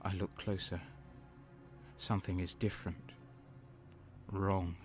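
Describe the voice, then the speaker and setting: An actor playing a haunted, horror-struck soldier who narrates his own nightmare in an intense, halting, theatrical audio drama style. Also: sits low, level tone, faint music behind. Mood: fearful intensity.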